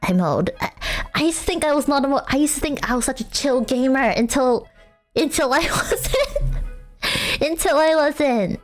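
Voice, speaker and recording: A woman's voice: sits high, animated, moderate pace, faint music behind. A young woman streaming a casual chat with viewers, rambling and self-mocking with lots of fillers and frequent laughter. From Australia.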